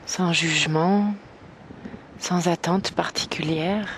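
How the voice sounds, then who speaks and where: A woman guiding an evening meditation slowly and gently, in a soft, breathy voice that nears a whisper, with long pauses. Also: lowish voice, fairly even intonation, a little hiss or hum. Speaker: meditation guide. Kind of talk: guided evening meditation, yoga nidra.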